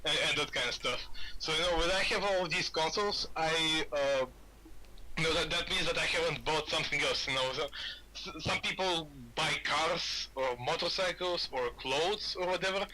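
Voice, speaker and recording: A man's voice: on the high side, normal speed, bright, forceful tone, a little hiss or hum, rough recording. A man from Bulgaria chatting casually on a gaming podcast, rambling and often repeating his words. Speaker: podcast host.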